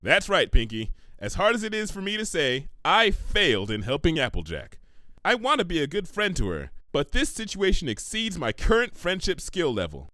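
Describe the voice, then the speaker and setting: Man voicing a high-pitched, lecturing unicorn. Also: wildly swooping pitch, moderate pace, pushed and bright, no echo, exaggerated voice. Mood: resolute, formal. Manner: wordy, lecturing, emphatic. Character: earnest, bookish female unicorn pony, exasperated and authoritative.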